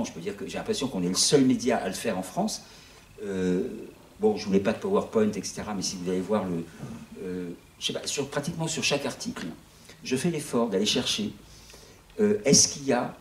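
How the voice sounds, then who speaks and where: A man's voice: lowish voice, a little mellow. A man in his sixties running an online news outlet, talking casually to a small audience in a rambling way, with many restarts. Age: 60s. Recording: echoey room, voice from far off.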